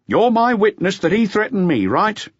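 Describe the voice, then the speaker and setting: A man reading aloud, voicing a tough café owner who speaks in a calm, controlled, firm way. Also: high-pitched, normal speed, no echo, muffled recording, voice pushed up. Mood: firm, curt.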